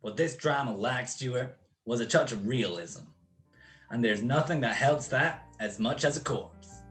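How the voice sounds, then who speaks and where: A man's voice: medium pitch, unhurried, fairly bright tone, faint music behind, natural voice. A man acting a smug, cold-blooded criminal mastermind in a radio play, with precise, mocking, grandiloquent speech. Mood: coolly menacing.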